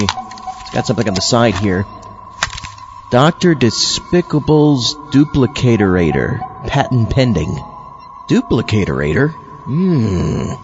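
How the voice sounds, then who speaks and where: A man's voice: medium pitch, darkish tone, muffled recording. A man playing an easygoing zombie astronaut who hosts an old-time radio show, chatty and muttering to himself in a comedic monologue. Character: easygoing male zombie astronaut hosting from his underground lair. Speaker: podcast host.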